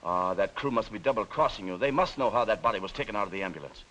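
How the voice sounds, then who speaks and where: Menacing, commanding crooked boss. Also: male voice, lowish voice, expressive, fast, bright, forceful tone, low-quality recording. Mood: suspicious. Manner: commanding, threatening. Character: menacing, crooked male boss giving orders by phone. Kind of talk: 1940s film acting. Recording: hissy old 1940s film soundtrack.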